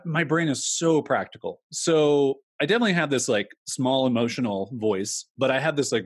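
Thirties guy, husky voice, dry close sound, chatty and rambling. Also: mid-range voice, no room sound. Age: 30s. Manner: candid, rambling, lots of "like". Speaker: entrepreneur guest. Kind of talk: casual interview talk.

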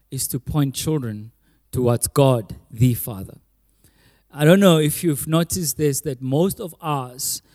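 A man with a slightly high voice leads a church panel in an upbeat, teasing way. His voice rings out with a long hall reverb. He calls out names loudly and keeps the room light. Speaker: pastor hosting a church panel. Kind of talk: church stage hosting, lively and joking.

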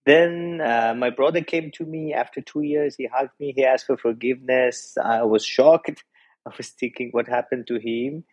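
A man in his forties from Iran with a Persian accent, a pastor telling his personal story earnestly as a podcast guest, pausing often to find his words. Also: medium pitch, a little mellow, husky, low-quality recording. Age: 40s. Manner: earnest and reflective, with frequent hesitations. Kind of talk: personal testimony in an interview.

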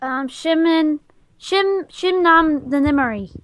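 A woman's voice: high voice, slow, a bit bright. A woman playing a shy little girl on a prank call, hesitantly sounding out words she cannot read. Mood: uncertain. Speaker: prank caller. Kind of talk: improvised prank phone call.

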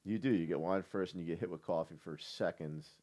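Fast-talking deep-voiced man. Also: fairly even intonation, mellow. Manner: joking banter. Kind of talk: casual chat.

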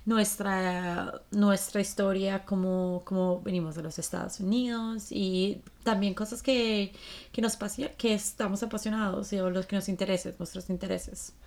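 A woman's voice: medium pitch, fairly even intonation, normal speed, vocal fry, darkish tone. A woman in her late twenties from Colombia, with a Colombian accent, talking casually as a podcast co-host.

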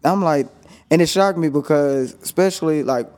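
A man's voice: a little high, moderate pace, a little mellow. A man co-hosting a casual talk show, telling playful stories and acting out what he said. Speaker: co-host. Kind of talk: casual chat.

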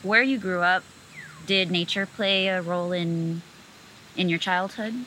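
Low, bright-toned American woman. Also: steady tone, unhurried, slight background noise, rough recording. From United States. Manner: fluent, earnest, many "like" fillers. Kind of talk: casual conversation.

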